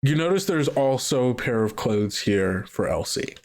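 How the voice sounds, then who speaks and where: A man's voice: mid-range voice, lively intonation, moderate pace, a little mellow. Male game master, casual tabletop podcast, middle-range voice, hesitant improvised narration. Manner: hesitant, thinking aloud, trailing off.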